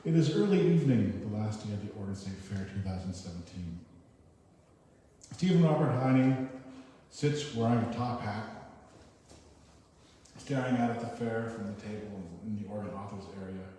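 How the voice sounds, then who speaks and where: Echoey, distant man reading poetry, low mellow voice. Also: animated, normal speed, long pauses. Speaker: poetry reader at an open reading. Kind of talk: poetry reading with casual spoken introductions. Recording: very echoey hall, far mic, noisy.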